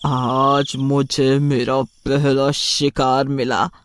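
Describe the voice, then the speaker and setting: A performer voicing a menacing headless witch in a horror cartoon story, slow, gloating and threatening. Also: mid-range voice, flat delivery, slight background noise. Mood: gloating menace.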